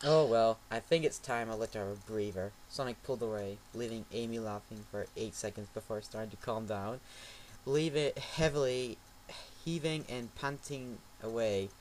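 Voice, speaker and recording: A man reading a fan story aloud in a mocking amateur dramatic reading, with ironic asides and shouted outbursts. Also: on the low side, moderate pace, slight background noise, low-quality recording. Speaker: reader.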